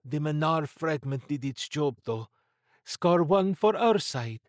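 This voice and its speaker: Man voicing a brusque woman agent, raised pitch. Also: expressive, slow pace, no echo, clean studio sound. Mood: brisk, wry. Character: brusque, commanding woman secret agent, wry and confident.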